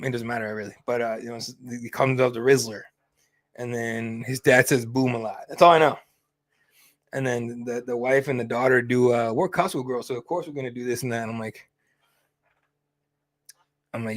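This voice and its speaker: A young man hosting a fight-night livestream, chatting casually with lots of fillers and swearing. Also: medium pitch. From Mexican heritage. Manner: rambling, profane, easygoing, many fillers. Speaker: host of a fight companion stream.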